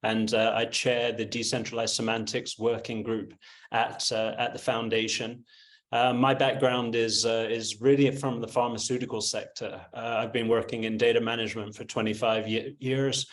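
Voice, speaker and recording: A man's voice: mid-range voice, level tone, normal speed. A man speaking as a webinar guest, hesitant, with stammering starts. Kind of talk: conversational self-introduction.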